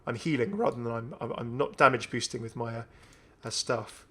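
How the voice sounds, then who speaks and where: A man's voice: medium pitch, brisk, a bit bright. A young man giving casual, enthusiastic commentary on a video game. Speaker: gaming video host.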